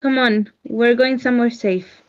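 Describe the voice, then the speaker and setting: Teen warrior girl played by a woman: fairly high, firm, protective, slightly dull lo-fi audio, table read. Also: fairly even intonation, moderate pace, lightly acted. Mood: reassuring, firm. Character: brave fifteen-year-old girl warrior, resolute and protective.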